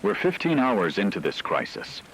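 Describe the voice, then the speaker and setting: Male actor as radio drama news anchor: very low pitch, measured and factual, grave, faintly hissy broadcast. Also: wildly swooping pitch, creaky, a bit bright, full character voice, low-quality recording. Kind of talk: scripted emergency news report.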